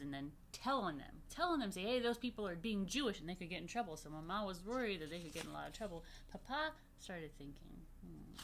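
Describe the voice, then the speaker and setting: A woman's voice: low voice, a bit bright. Woman reading to kids, noisy recording. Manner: playful, explains simply with repetition. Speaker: rabbi reading to children. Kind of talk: children's story time, read aloud with chatty asides. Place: virtual story time recorded in a room.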